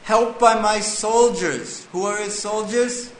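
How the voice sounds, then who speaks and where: A man giving a scripture class, reading a passage and then explaining it slowly and deliberately. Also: high-pitched, fairly even intonation, echoey room. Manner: slow, deliberate, reads text then explains. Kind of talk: scripture lecture.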